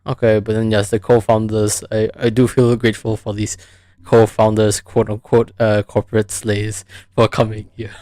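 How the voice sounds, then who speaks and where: A man's voice: fairly low pitch, monotone, moderate pace, dark tone, no echo. A man from the United States chatting casually as the host of a live stream podcast.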